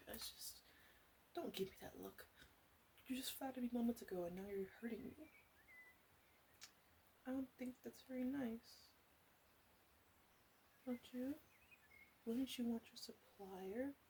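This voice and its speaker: A woman playing a sly, flirty witch who runs a potion shop, in a soft-spoken ASMR roleplay with whispers and halting, teasing asides. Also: fairly high pitch, slight background noise, poor audio. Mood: mock hurt, flirty.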